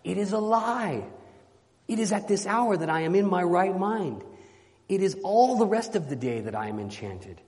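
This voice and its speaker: A man voicing an anguished, bound knight under enchantment in a storybook reading, pleading forcefully in formal, old-fashioned speech. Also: high-pitched, voice pushed up. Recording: long hall reverberation.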